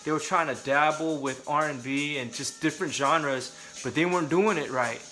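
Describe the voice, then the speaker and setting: Bright-voiced man talking candidly. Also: on the high side, normal speed, faint music behind. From Southern California. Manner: conversational, candid, joking asides. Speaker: vlogger. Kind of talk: casual talk to camera.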